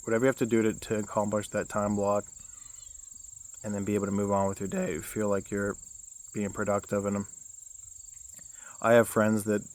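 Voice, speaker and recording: A young man with a low baritone voice, giving a casual self-help vlog outdoors, rambling with many restarts and fillers. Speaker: vlogger.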